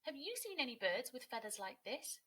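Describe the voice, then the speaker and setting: Woman reading as an inquisitive, lively storybook owl. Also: high-pitched, a little fast, slightly rough, pitched above the performer's own voice, heavily acted. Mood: puzzled. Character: friendly, inquisitive male owl in a children's storybook.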